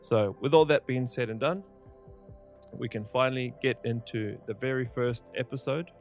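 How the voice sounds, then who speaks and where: A man's voice: mid-range voice, moderate pace, faint music behind. A man hosting his own podcast, earnestly explaining his plans and then interviewing his mother, with frequent hesitations. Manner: earnest, hesitant, many fillers. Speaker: podcast host. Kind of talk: casual podcast intro and interview.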